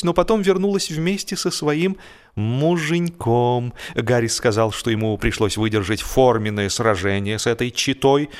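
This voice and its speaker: A man with a mid-pitched voice reads humorous literary fiction as an audiobook narrator. His delivery is theatrical, with key words drawn out for emphasis.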